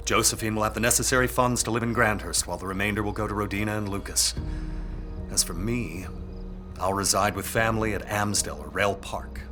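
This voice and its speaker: A man's voice: on the low side, brisk, over music, close to an everyday voice. A man playing a brooding, dutiful aristocratic heir in a period audio drama, speaking formally and earnestly. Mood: brooding, resolved.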